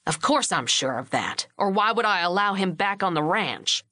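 A woman's voice: lowish voice, big pitch swings, moderate pace, bright, forceful tone, no echo, clean studio sound. A woman voicing an older male ranch patriarch in a storybook, scheming and firm with easy authority. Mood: assured, scheming.

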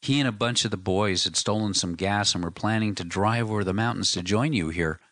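A man's voice: lowish voice, brisk, fairly bright tone, dry recording, studio quality, close to an everyday voice. A man voicing a tearful, faltering young wife. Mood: grieving. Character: young farm wife, grieving and frightened. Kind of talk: audiobook character reading.

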